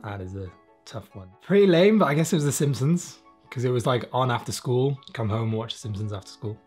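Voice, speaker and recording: Male interviewee, thirties, from South Wales. Informal, self-effacing, mid-pitched, with music underneath. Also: animated, brisk, darkish tone. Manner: informal, self-deprecating, sweary. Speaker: interviewed illustrator. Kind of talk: casual interview chat. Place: small room, home studio indoors.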